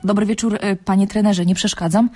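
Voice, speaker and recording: An actress playing a shrewd young student chess prodigy in a radio drama, cool, polite and understated, with a husky voice. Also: female voice, mid-range voice, steady tone, brisk, darkish tone, soft background music, lightly acted. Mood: calm, reassuring. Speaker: actor in a radio play.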